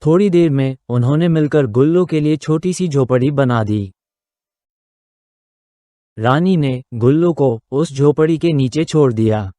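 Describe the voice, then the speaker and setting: A man's voice: a little high, a little slow, dark tone, no room sound. A man narrating a scripted moral story for a children's cartoon.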